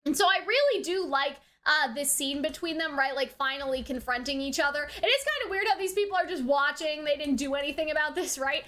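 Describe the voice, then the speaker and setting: Woman reviewer w a really high bright voice, chatty rambly commentary, keeps ending stuff like a question tag. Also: brisk, few pauses. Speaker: anime reviewer hosting a reaction video. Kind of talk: casual episode commentary, retelling and speculating.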